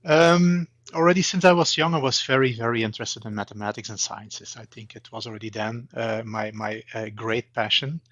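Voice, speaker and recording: A man's voice: mid-range voice, normal speed, few pauses, fairly bright tone, no echo. Interview guest, man, forties, Belgian. Hesitant, many restarts, dull dry audio. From eastern Belgium. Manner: hesitant, repeats words, many fillers. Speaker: guest, banking AI expert. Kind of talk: interview answers.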